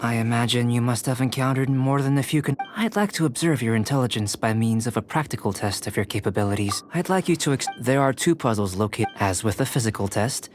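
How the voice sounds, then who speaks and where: A man playing a calm, scholarly young male alchemist in a video game, speaking in a composed, formal and precise manner. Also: medium pitch, brisk, hardly any pauses, close to an everyday voice. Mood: calm, instructing. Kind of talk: video game character acting. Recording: clear music behind the voice.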